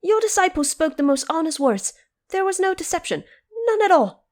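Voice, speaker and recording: A woman playing an eager, naive male monkey king in an audio drama, earnest and excitable. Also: extremely high pitch, normal speed, pitched above the performer's own voice. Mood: desperate pleading.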